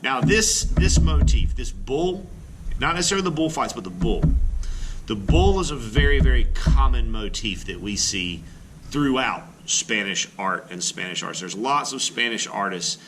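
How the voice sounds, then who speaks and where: A man's voice: medium pitch, lively intonation, moderate pace, heavy background noise. A man, an elementary art teacher, reads a picture book aloud to children with expression and breaks off now and then to add his own teacherly asides.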